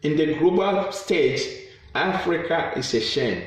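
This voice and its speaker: A man's voice: on the high side, lively intonation, unhurried. A man with a Ghanaian accent, a campaigner urging listeners to fight corruption in a fervent appeal to camera, repeating phrases for emphasis. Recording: very echoey room.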